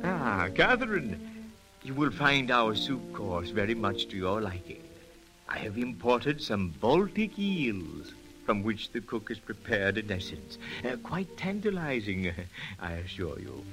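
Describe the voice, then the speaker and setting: Eccentric banquet king: ornate, halting and theatrical, slow with wide swoops, for 1930s radio drama. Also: fairly low pitch, exaggerated voice, rough recording. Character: courtly eccentric king hosting a banquet of imagined guests. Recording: old 1930s radio recording, music behind.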